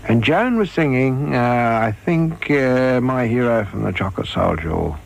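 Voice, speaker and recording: An older man telling an anecdote in an interview, in an animated, colloquial way. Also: mid-range voice, a little slow, creaky. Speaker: interviewee, former radio performer. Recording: noisy archival interview recording.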